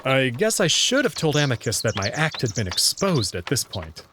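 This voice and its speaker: Lively male first-person story narrator. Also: mid-range voice, moderate pace, a little hiss or hum. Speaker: narrator and reader of the player character.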